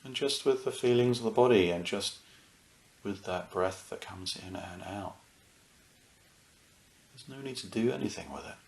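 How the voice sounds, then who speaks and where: A man leading a guided meditation as a teacher, speaking soothingly in short phrases with unhurried pauses. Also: on the low side, creaky, a little mellow, reverberant. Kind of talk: guided meditation, unscripted and gentle.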